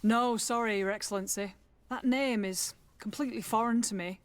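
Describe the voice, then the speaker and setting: Woman acting proud guarded army lieutenant in a game, highish voice, dry studio sound. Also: steady tone, moderate pace, clear, resonant tone, no echo, natural voice. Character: guarded, proud female lieutenant training recruits. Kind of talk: video game dialogue acting.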